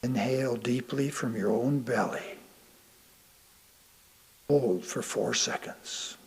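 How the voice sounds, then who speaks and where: A man reading a sermon aloud from a script, deliberately and with emphatic pauses. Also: mid-range voice, creaky, husky. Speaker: lay reader of a sermon.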